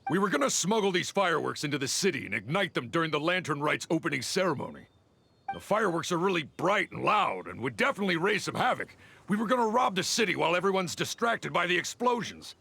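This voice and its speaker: A man's voice: on the high side, very animated, quick pace, vocal fry, sharp tone, a little hiss or hum, full character voice. An actor playing a shifty, cornered bandit leader in a video game, stammering and hesitant as he caves. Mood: cornered, sheepish. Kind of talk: video game story dialogue.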